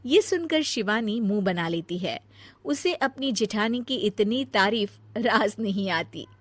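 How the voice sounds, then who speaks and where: A woman's voice: medium pitch, expressive, a little slow, no room sound. A woman giving scripted narration for a cartoon family story.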